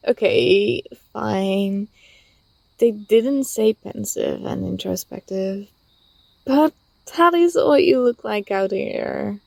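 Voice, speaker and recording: A woman playing an affectionate, teasing young student talking to a close friend in a soft, intimate ASMR roleplay. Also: medium pitch, animated, drawn-out words, creaky, long pauses, no room sound, exaggerated voice. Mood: playful, relieved. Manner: gentle, playful, coaxing.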